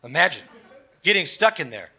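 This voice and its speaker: A man's voice: fairly high pitch, big pitch swings, a little fast, pushed and bright, husky. A man co-hosting a live comedy show, reading comments with dramatic, exaggerated delivery. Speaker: comedy show co-host and performer.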